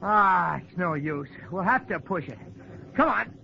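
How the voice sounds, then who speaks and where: A take-charge young hero in old radio drama. His pitch is high and swings with urgency. He is brisk and commanding on a muffled recording. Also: animated, vocal fry, very noisy, exaggerated voice. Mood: determined. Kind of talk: 1940s radio acting.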